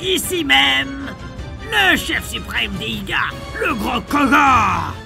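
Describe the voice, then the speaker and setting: A man voicing a pompous, buffoonish ninja clan boss in a French game fandub, creaky, drawn-out and theatrical. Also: extremely high pitch, very animated, over music, full character voice. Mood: gleeful, boastful. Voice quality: creaky, gravelly.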